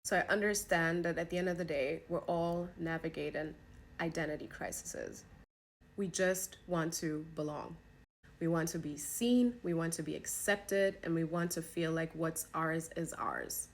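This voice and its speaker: A woman who grew up in Germany speaks in a fairly low voice. She delivers an earnest, emphatic opinion piece like a podcast host talking to her followers. Some ambient noise sits behind her voice. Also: measured pace. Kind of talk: earnest opinion talk to camera.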